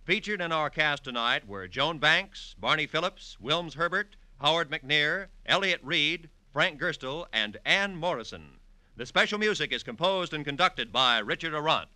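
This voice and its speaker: An American man announcing formally from a script in 1940s network radio style, with measured enunciation. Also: a little high, animated, bright, forceful tone, no echo. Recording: vintage radio recording.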